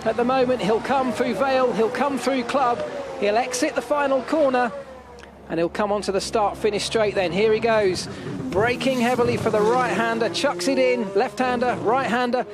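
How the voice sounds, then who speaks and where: High-pitched excited male race commentator. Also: moderate pace, noisy background. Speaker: motor racing lead commentator.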